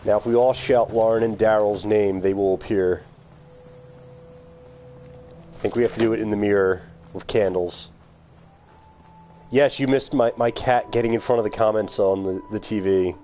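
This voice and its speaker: A man's voice: on the low side, fairly even intonation, normal speed, long pauses, warm tone, a little hiss or hum. A man streaming a video game, chatting casually with his viewers and calling them by name. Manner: chatty, talks to chat viewers by name, laughs often. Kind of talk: casual live-stream commentary.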